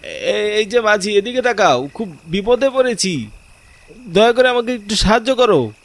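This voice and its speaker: A man's voice: high voice, lively intonation, unhurried, pitched above the performer's own voice. A man voicing an anxious stranded traveller pleading urgently for help in a horror cartoon story. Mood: calling, pleading.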